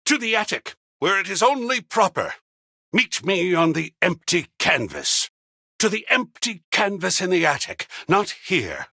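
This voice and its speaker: An actor playing a flamboyant, seductive living work of art in a video game, theatrical and affectionate, with breathy husky endearments. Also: male voice, high voice, big pitch swings, pushed and bright, no echo, studio quality, heavily acted. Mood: flustered, insistent. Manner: theatrical, drawn-out purring endearments with sudden exclamations. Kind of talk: theatrical game character acting.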